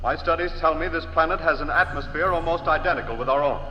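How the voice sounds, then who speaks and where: An actor playing a grave, impassioned alien scientist who warns of doom in urgent, declamatory 1940s radio drama style. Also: male voice, a little high, fast, lightly acted. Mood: solemn, insistent. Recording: echoey hall on dull 1940s radio recording, music behind.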